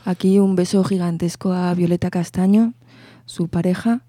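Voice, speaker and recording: Mujer de voz oscura y grave. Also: female voice, lowish voice, moderate pace, dry recording, studio quality. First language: Spanish. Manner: thoughtful, circling restarts, steady explaining. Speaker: poet guest. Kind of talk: radio interview about her poetry.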